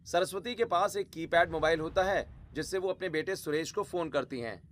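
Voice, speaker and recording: A man's voice: fairly high pitch, normal speed, a bit bright, soft background music. A man giving scripted narration of a family story as the narrator.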